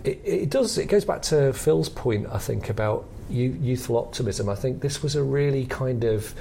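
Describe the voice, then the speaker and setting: A man's voice: mid-range voice, lively intonation, normal speed, creaky, warm tone, slight background noise. A middle-aged man with a British accent co-hosting a podcast, talking through comedy history in a reflective, hesitant, explanatory way. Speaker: podcast co-host. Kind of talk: conversational analysis of comedy history.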